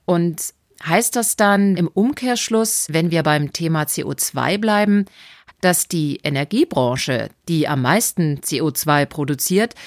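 A woman interviewer asking brisk, probing questions. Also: sits low, no room sound, studio quality.

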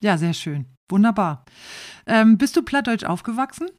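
A woman's voice: medium pitch, expressive, normal speed. A woman in her forties interviewing a guest, engaged and friendly, often restarting her sentences. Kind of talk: conversational interview.

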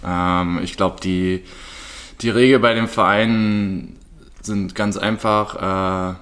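A man's voice: fairly low pitch, steady tone, slow, a bit bright. A man in his late twenties from Berlin, a podcast guest answering casually with lots of hesitant fillers. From Berlin, Germany. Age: 20s. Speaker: guest, handball player.

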